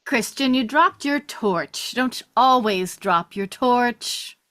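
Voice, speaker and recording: Woman reading a slow, impatient soldier. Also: fairly high pitch, lively intonation, bright, forceful tone, exaggerated voice. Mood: impatient, grudging. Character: impatient, grumbling male soldier cleric on a communicator. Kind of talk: script table read.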